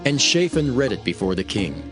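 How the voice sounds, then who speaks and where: A man narrating scripture for a dramatized audio production in a solemn, measured storytelling manner. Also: mid-range voice, sing-song, music in the background.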